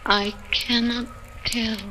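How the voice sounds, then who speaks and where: Tender, solemn phantom sweetheart, high and very unhurried, earnest 1940s radio drama style over music. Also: female voice, level tone, bright, forceful tone, slight background noise, poor audio. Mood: soft, sorrowful. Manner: measured, earnest, dreamlike delivery. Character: tender, solemn imagined voice of a captive's beloved. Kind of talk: 1940s radio horror acting. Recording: vintage radio recording with background music.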